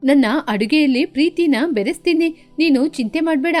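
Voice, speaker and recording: A woman voicing a worried, good-hearted mother bird in an animated children's moral story, speaking earnestly and expressively. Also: high voice, brisk, soft background music. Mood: grateful, warm.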